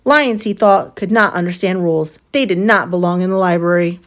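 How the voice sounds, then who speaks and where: A woman reading a picture book aloud as an expressive storyteller for children. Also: lowish voice, a little fast. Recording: slightly dull, lo-fi sound.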